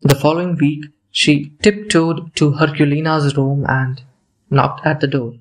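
Male storyteller with a raised pitch. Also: steady tone, measured pace, low-quality recording. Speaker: narrator. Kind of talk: scripted dramatic story narration.

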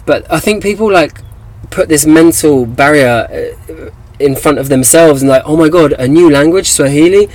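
A man's voice: a little high, normal speed, a little mellow, rough recording. A young man with an English accent, a guest in an interview, talking casually with many fillers and restarts. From England. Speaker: guest, activist.

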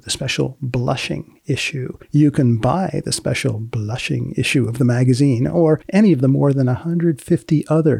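A man hosting a podcast in a dry, deadpan manner, with a gravelly, husky voice, asking questions and reading announcements. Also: mid-range voice, no echo. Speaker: podcast host and magazine editor. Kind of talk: interviewing a guest about a research paper, scripted sign-off.

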